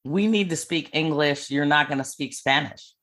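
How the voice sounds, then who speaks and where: A woman's voice: sits low, normal speed, fairly bright tone. A middle-aged woman hosting a podcast interview, casual and encouraging with her guest. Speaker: podcast host.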